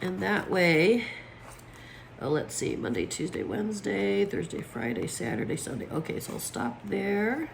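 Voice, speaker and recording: A woman's voice: mid-range voice, big pitch swings, unhurried, gravelly, a little hiss or hum. A woman with a froggy, allergy-worn voice chatting casually as she sets up her planner, with plenty of fillers. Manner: chatty, lots of fillers, talks while placing stickers. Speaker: planner vlogger. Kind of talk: casual chat while crafting.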